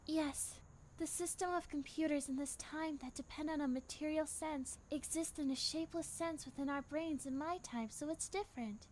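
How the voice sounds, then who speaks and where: A woman playing a gentle young time traveller in an anime fan dub, patiently explaining and reassuring. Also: high-pitched, fairly even intonation, moderate pace, slight background noise, close to an everyday voice. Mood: gentle, assured. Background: background music.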